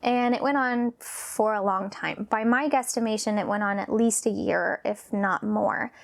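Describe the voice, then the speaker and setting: A woman in her thirties talking about her own past in a vlog, nervous and halting, with sighs and pauses, sometimes dropping almost to a whisper. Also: mid-range voice, creaky. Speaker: vlogger. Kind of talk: personal confessional talk.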